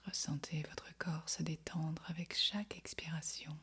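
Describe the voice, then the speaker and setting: A woman guiding a yoga nidra meditation in a breathy, whispery, husky voice, speaking softly and soothingly with long pauses. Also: sits low, fairly even intonation. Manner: soft, soothing, unhurried instruction with long pauses. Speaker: meditation guide.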